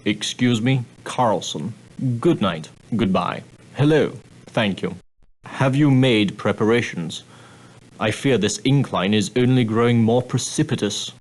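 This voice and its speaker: An actor playing a prim, straight-laced ship's steward in an adventure game, speaking formally with dry, condescending politeness. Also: male voice, fairly low pitch, close to an everyday voice, rough recording. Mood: prim, sardonic. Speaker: steward and guide on an ocean liner. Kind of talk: scripted adventure game character dialogue.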